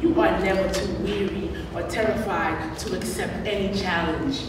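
A young woman recites spoken-word poetry at a podium microphone. She takes her time, placing each phrase with deliberate drama and weighty pauses. The recording is distant and heavily reverberant, with clear background noise. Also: fairly low pitch, steady tone. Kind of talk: live poetry slam recitation. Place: large room at a college, on stage at a podium. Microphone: microphones on stands at a podium. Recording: echoey hall, distant mic, background noise.

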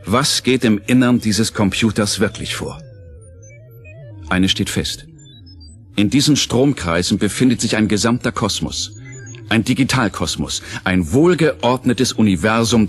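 Male audio drama narrator, kinda deep, measured and serious storytelling, music behind him. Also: animated. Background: prominent music.

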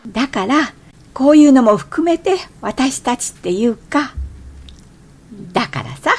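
An actress playing an irritable, changeable young wife in a radio drama, expressive and exclamatory. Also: female voice, sits high, measured pace, slightly rough, full character voice. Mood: brightening. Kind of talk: radio drama acting with inner monologue.

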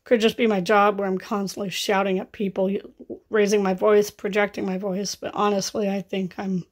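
A woman in her thirties with a scratchy, raspy voice and vocal fry, chatting casually through a grocery haul in her kitchen. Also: mid-range voice, flat delivery, normal speed. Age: 30s. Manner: chatty and offhand, rising into exclaimed asides. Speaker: vlogger. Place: home kitchen, indoors.

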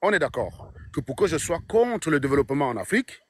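A man's voice: fairly high pitch, very animated, a little fast. A middle-aged man, hosting a live stream outdoors on his phone, mocking and insistent. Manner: combative, mocking, insistent questioning. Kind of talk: casual livestream chat. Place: outdoors on a sunny street. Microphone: phone at arm's length.

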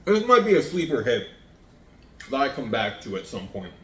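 A man's voice: mid-range voice, big pitch swings, moderate pace, sharp tone. Chatty man in an echoey kitchen. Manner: chatty, rambling, self-deprecating, talks while eating. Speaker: home cooking vlogger. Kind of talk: casual chat to camera. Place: home kitchen, indoors. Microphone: fixed camera across the counter.

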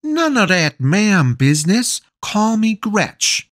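A woman's voice: medium pitch, very animated, slow pace, no room sound, clean studio sound, pitched above the performer's own voice. A man voicing a big, bossy, doting mother who talks in a fussy, folksy, insistent way.

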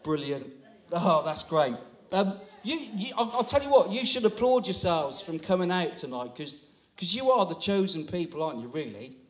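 A man in his sixties with a fairly high voice holds a handheld mic on a small town hall stage. He chats and teases the audience in easy stand-up crowd work. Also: animated, moderate pace. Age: 60s. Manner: chatty, teasing, audience banter. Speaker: comedy compere. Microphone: handheld microphone.

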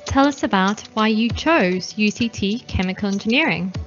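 Brief: female narrator, even scripted read, faint music bed. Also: mid-range voice, moderate pace, dull audio. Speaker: voice-over narrator.

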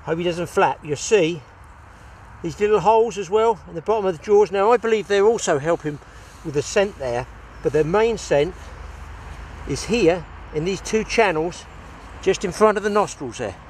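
Older angler, highish voice, outdoors. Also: male voice, lively intonation, normal speed, a little mellow. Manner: chatty, exclamatory, addressing viewers directly. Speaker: fishing show host. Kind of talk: casual fishing vlog commentary to camera. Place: outdoors on a grassy riverbank. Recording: outdoor location sound with background noise.

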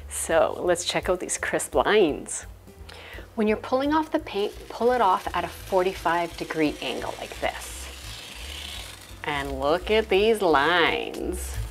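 A woman's voice: fairly low pitch, big pitch swings, takes it slowly, soft background music. A woman in her thirties hosting a home DIY tutorial at home, chatty and self-deprecating with playful asides, on a lapel mic. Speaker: home decor YouTuber. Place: home interior, hallway and craft table.

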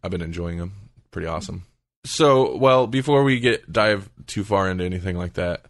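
A man's voice: low voice, expressive, fairly quick, dry recording, studio quality. A man hosting a podcast, chatting casually and feeling out his words as he goes. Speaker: podcast host.

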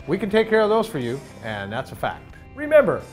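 A man's voice: high-pitched, wildly swooping pitch, fairly quick, faint music behind. A man delivering a punchy, emphatic scripted commercial.

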